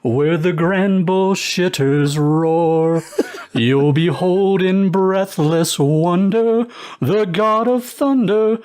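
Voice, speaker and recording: Slow-paced male guest debater. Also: sits high, a little mellow. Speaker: guest debater on comedy podcast. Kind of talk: mock-epic recitation and casual argument.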